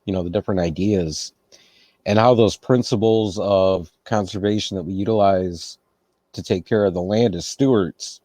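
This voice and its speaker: Relaxed male interview host, low-pitched, dry close-mic sound. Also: moderate pace, dry recording. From United States. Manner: relaxed, laughs easily, reflective. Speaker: podcast host. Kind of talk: casual interview.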